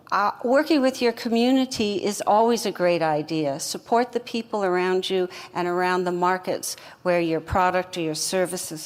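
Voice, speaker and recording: A woman with a mid-range voice speaks earnestly from a conference stage, laying out her advice in a steady way. A long hall reverb trails after her words. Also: medium pitch. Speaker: company co-founder on stage. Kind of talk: conference fireside chat.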